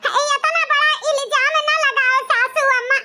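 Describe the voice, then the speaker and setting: Cartoon village woman, extremely high pitched-up squeaky voice, eastern Uttar Pradesh accent, melodramatic complaining, faint background noise. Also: steady tone, moderate pace. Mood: tearful, pleading. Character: scolding village mother-in-law and wronged widowed daughter-in-law, cartoon women. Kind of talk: melodramatic comedy cartoon dialogue.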